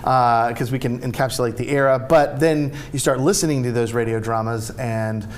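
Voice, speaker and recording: A man's voice: medium pitch, steady tone, moderate pace. A man with an American accent explaining things casually as an interview guest. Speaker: theatre company co-founder, interview guest.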